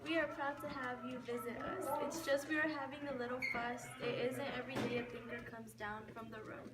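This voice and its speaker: A woman's voice: high-pitched, fairly even intonation, brisk, lightly acted. A woman playing a fussy, scheming cottage sister in an amateur rhyming puppet show. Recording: distant mic, clearly noisy, clicks.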